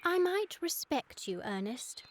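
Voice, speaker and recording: A very high-voiced, sweet and romantic young Victorian lady. She speaks precise, mannered British English in a comic radio play, with faint noise behind her. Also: very animated, measured pace. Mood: dreamy, coquettish.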